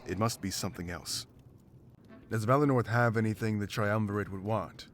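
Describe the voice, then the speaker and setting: An actor playing a stern, principled warrior guard in a fantasy visual novel, grave and challenging in manner. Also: male voice, on the low side, moderate pace, slight background noise, close to an everyday voice. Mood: wary, pondering.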